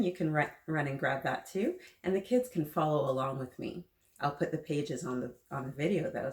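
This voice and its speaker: A woman reading a picture book aloud to young children, gently encouraging them and pausing to ask them questions. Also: deep voice, reverberant. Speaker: children's story-time host reading aloud.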